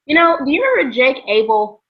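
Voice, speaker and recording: A young woman with a fairly high voice co-hosts a casual book chat. She speaks informally, as if among friends. The recording is a little dull and lo-fi. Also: very animated, a little fast. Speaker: book discussion show co-host. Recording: dull, lo-fi sound.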